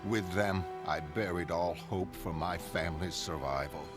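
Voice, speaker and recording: A man playing an anguished, grave shipwrecked sailor who narrates a pirate horror tale in solemn, measured, literary phrases. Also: sits low. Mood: grim, mournful. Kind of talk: brooding first-person audiobook narration. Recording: music under the voice.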